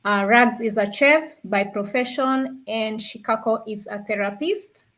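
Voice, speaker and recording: A woman's voice: fairly high pitch, measured pace, a bit bright. A woman from Kenya co-hosting a live online talk and presenting to viewers. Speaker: co-host of an online business talk show.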